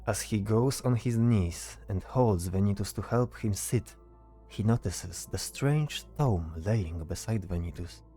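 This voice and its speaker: A man's voice: lowish voice, unhurried, dark tone, faint music behind. A man narrating a scripted fantasy story as the narrator of an audio drama.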